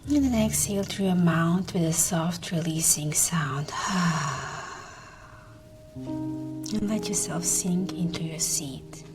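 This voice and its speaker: A woman narrating a guided meditation in a soft, breathy, often near-whispered voice, soothing and gentle. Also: fairly low pitch, measured pace. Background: meditation music.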